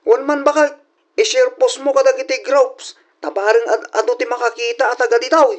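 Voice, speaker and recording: A woman's voice: sits high, little pitch movement, fairly quick. A woman playing a mild, agreeable married male farmer in an Ilocano radio drama, with an Ilocano Filipino accent. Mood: busy, agreeable.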